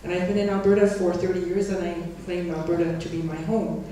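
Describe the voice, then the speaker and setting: A middle-aged woman from Montreal, a native Italian speaker, giving a personal comment from the audience with deliberate, emphatic phrasing. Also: fairly low pitch, fairly even intonation, dark tone. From Montreal, Canada. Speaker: audience member. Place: church hall. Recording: echoey hall, distant mic, faint noise.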